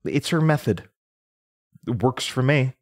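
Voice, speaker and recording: A man's voice: mid-range voice, expressive, measured pace. An actor playing a nervous, confused young man under interrogation, stammering and hesitant in a naturalistic audio drama. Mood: uneasy, defensive.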